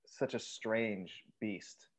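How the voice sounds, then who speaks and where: A man in his thirties chatting casually as a co-host about films, halting and sweary, on a video call from home. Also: lowish voice. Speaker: podcast co-host discussing horror films. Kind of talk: casual chat.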